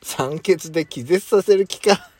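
A man playing a calm, indulgent boyfriend with a dry, gentle manner in an intimate bedtime audio drama. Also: on the high side, measured pace, breathy edge, no echo, soft background music. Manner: gentle, dry, masculine wording. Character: gruff but doting male lover, calm and indulgent.

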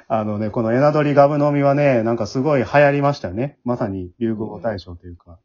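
A man's voice: medium pitch, very animated, brisk, a little mellow. A man with a Kansai Japanese accent hosting a casual chat in a chatty way, often seeking agreement. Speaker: host of an amateur radio drama's bonus talk. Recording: dull, lo-fi audio.

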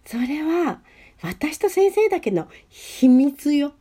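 A woman's voice: high-pitched, sing-song, slow, warm tone, heavily acted. A woman playing a proud, lovesick teenage schoolgirl in an amateur radio drama, talking to herself dramatically. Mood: indignant, secretive. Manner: dramatic, tsundere-like, talks to herself.

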